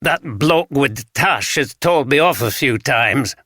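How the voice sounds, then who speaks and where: A man voicing a wary, scruffy homeless man with a broad Yorkshire accent, gruff and guarded. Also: medium pitch, lively intonation, a little slow, pushed and bright, dry recording, clean studio sound, pitched above the performer's own voice, full character voice. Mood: recounting. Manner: gruff, guarded, blunt dialect speech.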